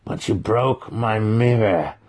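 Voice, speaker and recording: A man's voice: on the low side, a little mellow, voice pushed up. Man acting a growling, cruel, disfigured wizard master. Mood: menacing. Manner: growling, snarling, cackling, drawn-out taunting. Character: disfigured, cruel male dark-elf wizard master, enraged and gloating. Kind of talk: dramatic fantasy audiobook acting.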